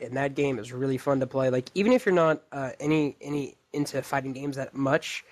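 A man co-hosting a casual gaming podcast, rambling with many fillers. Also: mid-range voice. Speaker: podcast co-host. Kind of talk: casual chat.

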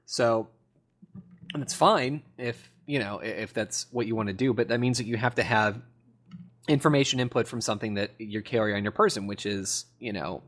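A man's voice: fairly low pitch, normal speed, a bit bright. A man co-hosting a podcast, talking through technology in a casual, analytical way. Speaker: podcast co-host.

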